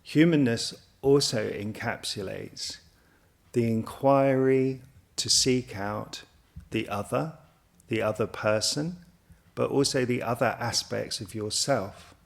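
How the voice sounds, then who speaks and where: A man talks very slowly, with a noticeable creak in his voice. He sounds like a college representative in a promotional interview, choosing formal words in a measured way. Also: medium pitch. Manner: measured, formal, abstract wording.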